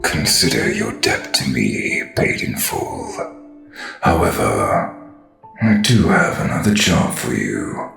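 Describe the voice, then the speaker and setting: Sinister mastermind, smug drawl, very deep heavy fry, wildly swooping intonation. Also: male voice, wildly swooping pitch, fairly bright tone, full character voice. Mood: smug, pleased. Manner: drawn-out, condescending, theatrical. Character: sinister male mastermind villain, smug, menacing, theatrical. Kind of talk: audio drama villain acting. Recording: roomy echo, faint music behind.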